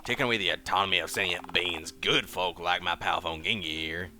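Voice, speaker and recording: A man's voice: deep voice, fairly even intonation, brisk, music in the background, pitched below the performer's own voice, natural voice. A man voicing a blunt, plain-spoken frontier cowboy in a game, with an American Southern accent. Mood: stern, righteous. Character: gruff, blunt male cowboy gunslinger, frontier normie.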